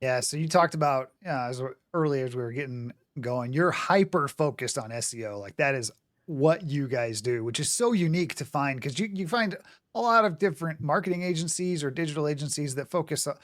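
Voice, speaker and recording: A man's voice: fairly high pitch, fairly quick. A man hosting a business podcast, introducing his guest and asking long, winding questions in an upbeat way. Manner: upbeat, rambling questions with restarts. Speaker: podcast host.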